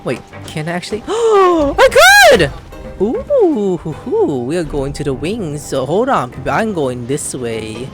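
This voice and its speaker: Playful, animated woman doing gameplay commentary. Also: sits low, measured pace, over loud music. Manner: playful, exclamatory, thinking aloud. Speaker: gaming video host.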